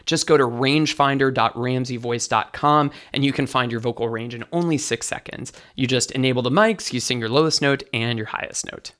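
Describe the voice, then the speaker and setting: A man with a light, bright tenor voice, a vocal coach explaining singing technique as an interview guest. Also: medium pitch, a little fast, hardly any pauses. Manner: enthusiastic teaching, sings short demos.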